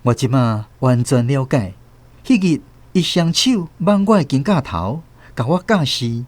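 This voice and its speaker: An actor playing an earnest nephew who narrates family memories in a radio drama, with a Taiwanese accent. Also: male voice, mid-range voice, lively intonation, a little slow, a little mellow, natural voice. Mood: sorrowful, reflective. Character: earnest nephew recalling his family's sorrows.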